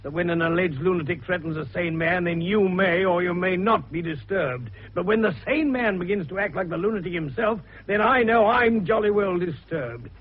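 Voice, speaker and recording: An actor playing a hearty, eccentric older British doctor-sleuth in a radio drama, avuncular and commanding, with booming old-fashioned exclamations. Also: male voice, normal speed, hardly any pauses. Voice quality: gravelly, husky. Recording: muffled old radio broadcast.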